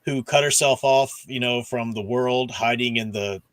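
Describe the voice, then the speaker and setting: A man's voice: medium pitch, moderate pace, no room sound. Guy hosting a casual stream chat, mid voice, explains stuff, says you know a lot, really dry sound. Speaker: host of a book discussion stream. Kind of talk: casual livestream discussion of comics and radio dramas.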